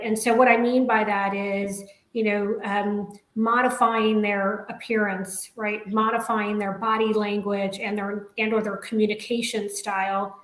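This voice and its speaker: A woman human resources executive speaking on a panel in a measured way, often tagging her sentences with "right?". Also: on the high side, roomy sound, poor audio. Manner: measured, frequent "right?" tags. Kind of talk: panel discussion.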